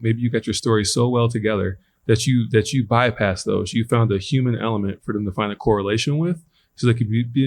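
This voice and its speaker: A man's voice: lowish voice, slight background noise. A man on a conference panel, a startup founder talking thoughtfully with many ums and restarts. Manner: thoughtful, filler-heavy, builds points slowly. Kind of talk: panel discussion. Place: conference stage.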